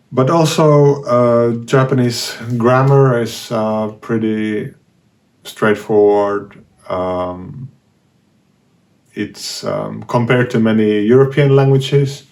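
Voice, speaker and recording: A man with a Finnish accent speaks slowly and unhurriedly, his somewhat low voice carrying a gravelly edge. He talks casually, pausing often and restarting his sentences as he thinks. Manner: hesitant, many fillers and restarts. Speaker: language learning vlogger. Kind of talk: casual talk to camera.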